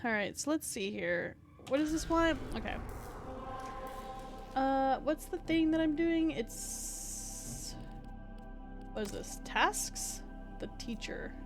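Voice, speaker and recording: A woman VTuber chatting casually while she streams a game, with a soft, small voice. She rambles, says "like" constantly and swears offhandedly, sitting very close to her mic at home. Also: sits high, lively intonation, slow, long pauses, over music. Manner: rambling, fills every phrase with "like", swears casually. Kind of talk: casual gameplay stream chat. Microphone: desk mic placed very close to the face.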